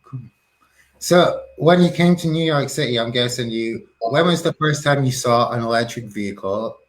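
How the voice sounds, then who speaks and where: A man hosting a casual video call interview, easygoing and quick with short affirmations. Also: mid-range voice, low-quality recording. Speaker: interview host.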